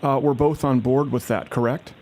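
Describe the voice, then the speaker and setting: A man's voice: medium pitch, fairly even intonation, moderate pace, darkish tone, a little hiss or hum. A man making a prank phone call as an aid worker, pressing on persistently with mock earnestness. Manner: persistent, falsely earnest salesman-like pushing. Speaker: prank caller posing as refugee aid worker.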